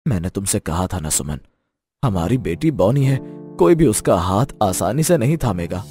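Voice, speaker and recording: A man's voice: lowish voice, big pitch swings, normal speed, dark tone, soft background music, voice pushed down. A man voicing a worried, pessimistic father who frets, in a moral story. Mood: gloomy. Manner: fretful.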